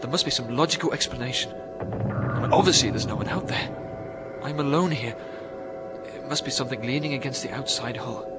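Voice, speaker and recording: An actor playing a lonely, stranded astronaut fraying on a moon base in a radio drama, emphatic and agitated. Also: male voice, mid-range voice, brisk, over loud music, close to an everyday voice. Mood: muttering, uneasy. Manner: emphatic, self-addressing, agitated.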